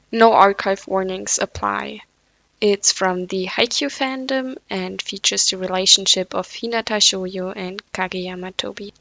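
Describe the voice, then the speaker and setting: A woman's voice: medium pitch, gravelly, a bit bright, dry recording. A woman reading fanfiction aloud at home, with casual, self-deprecating asides; English is not her first language. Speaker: podfic reader.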